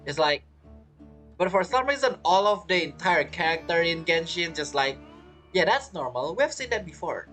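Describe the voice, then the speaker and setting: Playful male streamer with animated pitch. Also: normal speed, faint music behind. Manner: playful, giggly, reactive. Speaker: game streamer. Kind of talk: casual live gameplay commentary. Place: indoors at a streaming setup.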